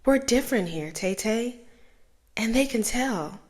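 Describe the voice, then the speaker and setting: A woman's voice: on the high side, lively intonation, measured pace, a little mellow, pitched above the performer's own voice. Woman voicing a nervous little boy. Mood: worried. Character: young boy, nervous and withdrawn.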